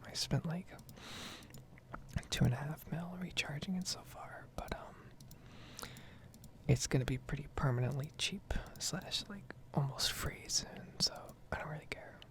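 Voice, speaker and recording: A woman speaking in a breathy whisper, rambling casually with some swearing in an ASMR gaming video. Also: sits low, unhurried.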